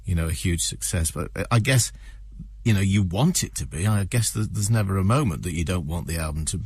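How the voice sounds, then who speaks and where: Husky low-voiced male radio presenter. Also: brisk, darkish tone, no room sound. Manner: leading questions with tag endings. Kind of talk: casual radio interview.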